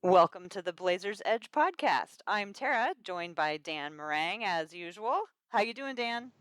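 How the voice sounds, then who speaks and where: A woman's voice: mid-range voice, animated, a little slow, fairly bright tone, clean tone, dry recording, studio quality. A woman hosting a podcast who chats casually in a friendly, hesitant way. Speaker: podcast host.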